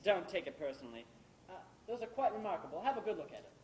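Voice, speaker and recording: A man's voice: high voice, very animated, quick pace. A man acting an older, established playwright in an amateur stage play, sly and condescending, with a theatrical, faux-genial delivery. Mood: testy, urbane. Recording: echoey stage recording, faint noise.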